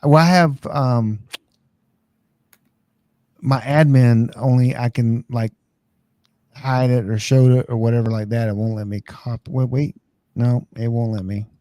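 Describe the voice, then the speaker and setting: Mellow American man, casual live host. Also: mid-range voice, steady tone, measured pace, pauses often, no room sound. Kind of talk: casual live chat, rambling tech advice.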